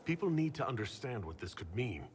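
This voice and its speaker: A man's voice: medium pitch, big pitch swings, brisk, darkish tone, slight background noise, natural voice. A man playing an earnest, level-headed heroic leader in a video game, polite and restrained. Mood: thoughtful. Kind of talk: video game character dialogue.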